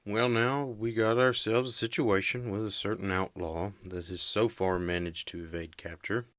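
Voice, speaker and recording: An actor playing a stern Old West lawman with a slow, laconic drawl. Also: lowish voice, fairly even intonation, fairly bright tone, no room sound, close to an everyday voice.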